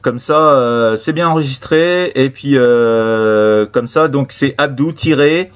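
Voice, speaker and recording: A man's voice: medium pitch, takes it slowly. A man chatting casually as an amateur radio operator, repeating himself with friendly sign-offs. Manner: repetitive, filler-heavy, friendly sign-offs. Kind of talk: casual radio contact chat.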